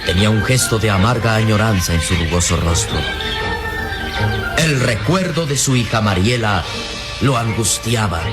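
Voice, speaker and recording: A man's voice: fairly low pitch, lively intonation, normal speed. A man narrating a radio serial in a dramatic scripted style. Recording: clear music bed behind narration.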